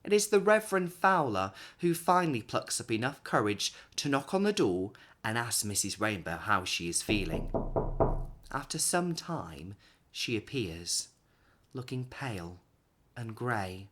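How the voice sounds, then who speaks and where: A man in his thirties narrates a picture book for young children. His pitch is medium but swings widely, and he leans on key words with dramatic pauses. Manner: animated, dramatic pauses and emphasis for young listeners. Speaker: children's story reader. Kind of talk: expressive read-aloud storybook narration.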